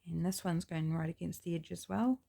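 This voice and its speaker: Gravelly-voiced New Zealand woman crafting. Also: on the low side, brisk. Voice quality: gravelly, scratchy. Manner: chatty, relaxed, thinking aloud. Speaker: craft channel host. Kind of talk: casual craft narration while working.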